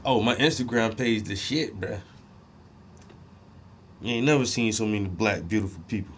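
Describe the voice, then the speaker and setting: A man's voice: on the low side, moderate pace, a little hiss or hum. Slangy, cursing man. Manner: loose, slangy and profane. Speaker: host. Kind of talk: casual profane rant.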